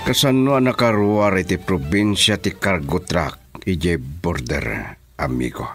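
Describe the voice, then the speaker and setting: A man with an Ilocano accent playing a shrewd businessman boss in a radio drama, with a drawn-out, swooping delivery. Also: low voice, over music. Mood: probing.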